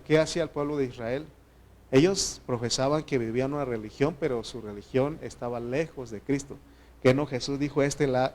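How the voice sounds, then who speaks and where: A man from Mexico with a Mexican accent preaching a sermon to his church, earnest and conversational, often pausing on fillers and restarting phrases. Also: medium pitch, slight background noise. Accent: Mexican Spanish. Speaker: pastor.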